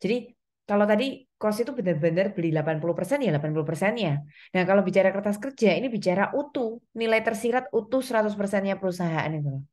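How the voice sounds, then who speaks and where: A woman's voice: medium pitch, expressive, fast talker, darkish tone. A woman giving an explanatory lecture, speaking step by step with frequent reminders to her students. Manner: instructional, step-by-step, with frequent reminders and check-in tags. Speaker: accounting lecturer.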